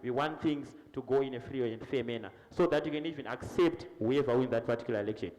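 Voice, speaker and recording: A man's voice: mid-range voice, fast talker, a little mellow, long reverb tail, a little hiss or hum, rough recording. A man, a party politician on a TV panel, pressing his points insistently with frequent restarts. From Zimbabwe. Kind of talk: impassioned political argument. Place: TV studio.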